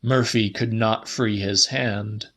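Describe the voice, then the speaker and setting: Slow, measured male audiobook narrator. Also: fairly low pitch, steady tone, fairly bright tone. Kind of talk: slightly dramatic literary narration.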